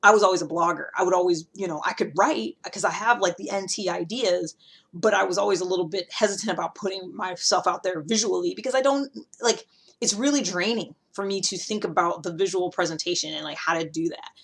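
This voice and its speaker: A woman with a fairly low voice talks straight to her viewers as a video host. Her delivery is informal and conversational, wandering as she thinks aloud, with a self-deprecating streak. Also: brisk, a bit bright, husky. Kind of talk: casual personality-type monologue to viewers.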